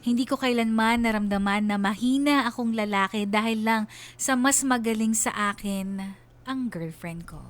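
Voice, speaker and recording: A woman's voice: a little high, normal speed. A woman with a Filipino accent reading a scripted first-person story as dramatic narration.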